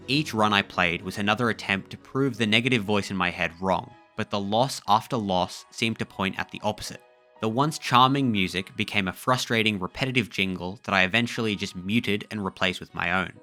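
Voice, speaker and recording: A man reading a scripted video essay voiceover in a measured, reflective way. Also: fairly low pitch, bright, forceful tone, soft background music.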